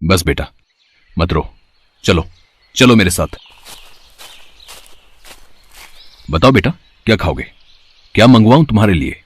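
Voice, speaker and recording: A man voicing a kind-hearted, protective gentleman in a cartoon story, speaking in an emphatic, fatherly way. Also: sits low, lively intonation, normal speed, slightly rough, dry recording. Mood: gentle, caring. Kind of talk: acted cartoon story dialogue.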